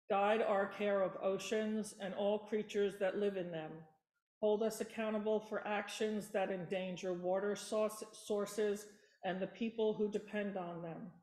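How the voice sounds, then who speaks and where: A woman reading intercessory prayers at a church lectern, slow and even, with an occasional stumble. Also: mid-range voice. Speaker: worship leader. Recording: echoey church room.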